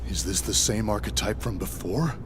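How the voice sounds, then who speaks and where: A man's voice: lowish voice, moderate pace, background noise, close to an everyday voice. An actor voicing an awkward young male soldier who stammers when caught off guard in a game cutscene. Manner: flustered, stammering. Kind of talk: video game cutscene voice acting.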